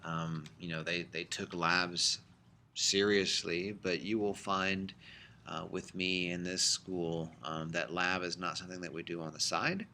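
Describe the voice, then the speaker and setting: Deep-voiced male biology teacher lecturing. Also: fairly even intonation, a little slow, sharp tone, a little hiss or hum. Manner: conversational, explanatory, many fillers. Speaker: high school biology teacher. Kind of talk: recorded lecture for students.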